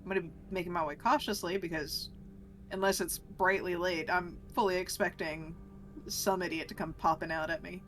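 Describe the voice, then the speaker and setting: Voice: mid-range voice, fairly even intonation, normal speed, a bit bright, soft background music. A tabletop game player talking casually and thinking aloud while discussing what to do next.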